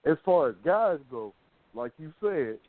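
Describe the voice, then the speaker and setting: A man's voice: a little high, very animated, unhurried, no echo. A man hosting a radio talk show in casual, colloquial, opinionated chat, telling things like stories. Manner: colloquial, storytelling. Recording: thin phone-like band-limited sound.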